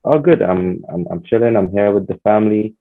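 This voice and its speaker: A man's voice: lowish voice, brisk. A man from Nigeria whose first language is Hausa, a podcast guest chatting casually with many fillers and restarts. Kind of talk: casual chat. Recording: tinny phone-like sound.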